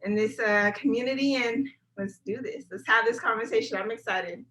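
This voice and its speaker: Bright-voiced energetic woman guest. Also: fairly high pitch, normal speed, poor audio. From South Florida. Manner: energetic, repeats words when thinking, conversational. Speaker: guest, brand videographer. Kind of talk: casual interview chat.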